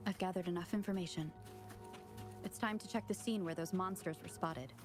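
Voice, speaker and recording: A woman's voice: on the low side, steady tone, a little fast, music in the background, lightly acted. A woman playing a composed, determined young adventurer in a video game.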